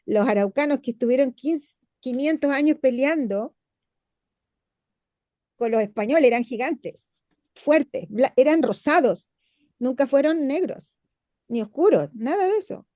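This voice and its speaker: A woman in the audience, making a lively comment on a video call and stopping now and then to search for words. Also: sits high, a little slow, long pauses, dry recording, clean studio sound. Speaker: audience member. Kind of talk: conversational comment.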